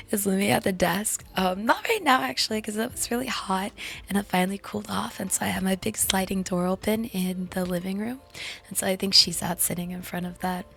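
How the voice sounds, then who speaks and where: A young woman streaming a casual chat with viewers, hoarse after losing her voice, raspy and breathy, often giggling and slipping into whispers. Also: mid-range voice, normal speed, a bit bright. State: hoarse, lost voice.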